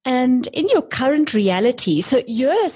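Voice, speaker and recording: Breathy higher-voiced woman interviewer. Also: sing-song, a little slow. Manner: affirming, gentle, leading questions. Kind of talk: warm interview questions.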